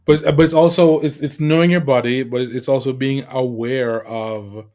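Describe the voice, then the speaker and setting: A man's voice: mid-range voice, steady tone, moderate pace, dark tone. A man hosting an interview podcast, supportive, giving short affirmations and repeating words as he thinks. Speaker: podcast host. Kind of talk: casual interview talk.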